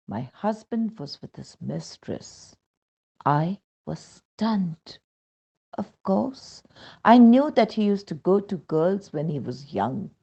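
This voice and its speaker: A woman with an Indian accent narrates a devotional testimonial in a low, mellow voice. She takes her time, reading in a measured storytelling way with expressive rises and falls. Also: breathy edge. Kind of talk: scripted devotional narration, read aloud. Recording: dull, muffled lo-fi sound.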